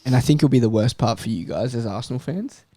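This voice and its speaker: Mellow-voiced man talking football. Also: mid-range voice, animated, quick pace, no room sound, studio quality. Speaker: football podcast panellist. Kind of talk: casual football discussion.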